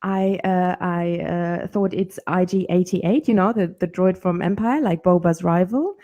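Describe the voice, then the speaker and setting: A woman's voice: on the low side, a little slow, warm tone, dull audio. A German woman co-hosting a casual fan podcast, talking enthusiastically and rambling with lots of fillers. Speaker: podcast co-host. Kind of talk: casual chat.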